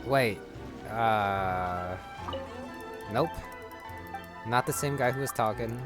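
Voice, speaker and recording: Slow, low-pitched male gamer. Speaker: gamer narrating a playthrough. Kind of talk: casual gameplay commentary. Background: game music.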